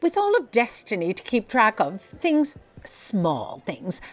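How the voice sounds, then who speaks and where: A woman's voice: on the high side, huge pitch swings, slow, exaggerated voice. A woman playing a haughty, forgetful editor-in-chief in a comedic audio drama, speaking formally and imperiously. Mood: guarded. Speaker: voice actor.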